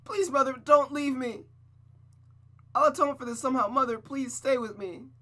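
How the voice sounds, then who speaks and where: A woman's voice: high-pitched, normal speed, fairly bright tone, voice pushed up. A woman voicing a frightened young girl begging her mother not to leave. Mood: pleading.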